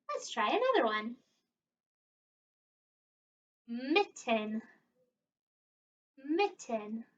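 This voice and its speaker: A woman's voice: high voice, pushed and bright. A woman speaks to young children in an encouraging, exaggerated teacherly way. She takes her time, leaving room for answers. The recording is a little muffled, with her voice at some distance from the microphone. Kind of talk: read-aloud and interactive lesson for young children. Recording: dull, distant microphone sound.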